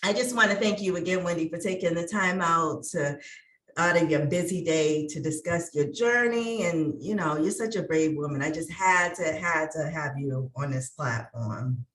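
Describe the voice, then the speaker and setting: A woman's voice: low-pitched, moderate pace, a bit bright. A woman hosting an interview as a coach, in a conversational style. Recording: roomy echo, distant from mic.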